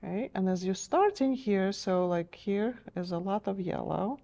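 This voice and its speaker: A middle-aged woman teaching a step-by-step painting lesson in a TV studio, gentle and encouraging, her voice a little strained as she is losing it. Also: medium pitch, moderate pace, vocal fry. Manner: gentle, encouraging, checks in with "okay?". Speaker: painting instructor.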